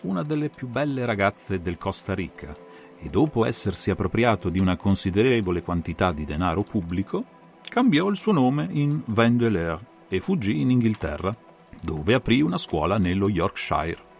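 A man performing an analytical gentleman detective recounting a solved case in a dramatized audiobook, with measured, theatrical phrasing. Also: on the low side, sing-song, creaky, faint music behind. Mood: measured, explanatory. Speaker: audiobook reader and interpreter.

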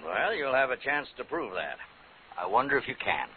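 A man's voice: mid-range voice, very animated, normal speed, natural voice. Man playing a stern prison warden on tinny old radio. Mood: stern, skeptical. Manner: authoritative, lecturing. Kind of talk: 1950s radio drama acting. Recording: tinny old radio broadcast, faint noise.

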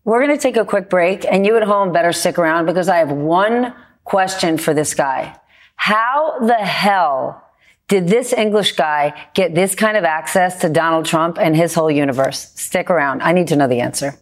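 A woman's voice: lowish voice, moderate pace. A woman hosting a TV news show, putting pointed, skeptical questions to her guest. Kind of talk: TV news interview.

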